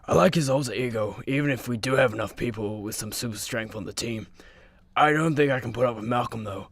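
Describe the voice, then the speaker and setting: A male actor plays a crude, boastful superhero slacker. He is blunt and mocking in an average-pitched voice, recorded dry, in comedy radio play style. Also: a little fast, fairly bright tone, dry recording. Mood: sarcastic, impatient.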